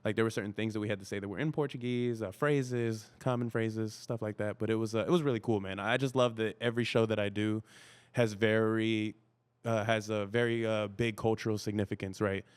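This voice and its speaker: A man's voice: fairly low pitch, fairly even intonation, fairly quick, clear, resonant tone. A man whose first language is Spanish, an actor chatting easily as a podcast guest with many "you know" fillers. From United States. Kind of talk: casual interview chat.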